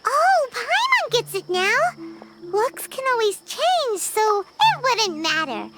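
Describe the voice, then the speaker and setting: A woman voicing a tiny, excitable, childlike fairy companion in an anime-style game, squeaky and perky. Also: slow, heavy background noise, exaggerated voice. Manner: squeaky, perky, refers to self by name.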